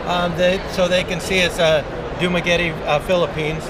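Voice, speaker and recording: A man's voice: sits high, flat delivery, normal speed. A man doing a casual on-the-spot interview, chatty, with joking asides. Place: indoor trade show hall. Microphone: action camera microphone. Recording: noisy trade show floor, camera mic. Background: busy show floor noise.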